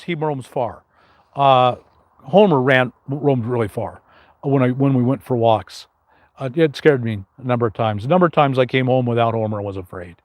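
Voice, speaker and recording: A man's voice: mid-range voice, darkish tone, no room sound, studio quality. An older man with a big, carrying voice hosts a casual live question-and-answer stream, rambling with many fillers and restarts.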